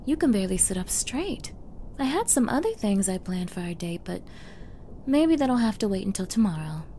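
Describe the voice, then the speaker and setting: A woman's voice: medium pitch, big pitch swings, normal speed, warm tone, slight background noise. A woman playing a sweetly menacing, possessive young girlfriend in an intimate ASMR roleplay, coy and cooing, often dropping into whispers. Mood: soothing, sinister. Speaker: roleplay audio performer.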